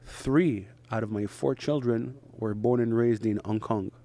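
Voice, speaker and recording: A middle-aged man from Israel, a native Hebrew speaker, talking in English as an interview guest in short, halting phrases with frequent restarts. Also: on the low side, mellow. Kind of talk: conversational interview.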